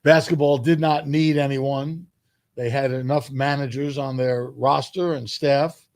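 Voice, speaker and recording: An older man from New York reminiscing as an interview guest, speaking in a measured way with emphatic phrasing. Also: medium pitch, fairly even intonation, a little mellow, no echo, clean studio sound. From New York, United States. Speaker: guest, sports public address announcer.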